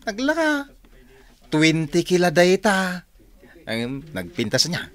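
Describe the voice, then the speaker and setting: A man's voice: high voice, wildly swooping pitch, takes it slowly, fairly bright tone, full character voice. A man playing a boastful, broke young male office worker in a radio drama, with a showy, wheedling manner.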